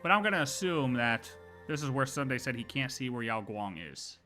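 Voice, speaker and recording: A man gives casual live commentary on a game. His voice is bright and medium in pitch, and he thinks aloud informally. Faint music plays in the background. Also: lively intonation, brisk. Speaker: game streamer.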